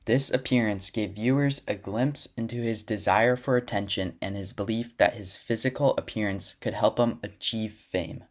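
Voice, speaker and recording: A man reading scripted documentary narration in an even, measured way. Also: on the low side.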